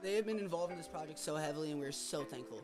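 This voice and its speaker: A man's voice: a little high, very fast. A man co-hosting a casual livestream, earnest and supportive as he chimes in. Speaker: co-host of a release stream. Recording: loud music behind the voice. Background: loud music.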